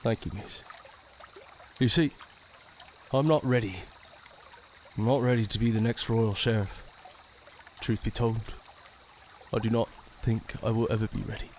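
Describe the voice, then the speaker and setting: An actor playing a nervous, earnest young royal sheriff who speaks formally and haltingly, full of self-doubt. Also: male voice, medium pitch, fairly even intonation, a little mellow, slight background noise, natural voice. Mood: anxious, confiding. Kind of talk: audio drama acting.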